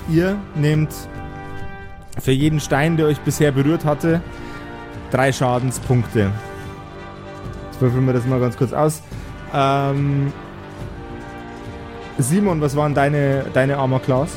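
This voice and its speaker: A man's voice: medium pitch, fairly even intonation, takes it slowly, long pauses, music in the background. A man acting as game master, narrating an improvised tabletop role-playing game with dramatic storytelling between casual rules talk.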